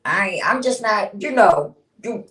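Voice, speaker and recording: A woman's voice: medium pitch, expressive, measured pace, fairly bright tone, far from the mic. A woman in her fifties talking bluntly and casually on a livestream, swearing freely and laughing often. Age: 50s. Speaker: livestream host. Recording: roomy sound, speaking away from the mic.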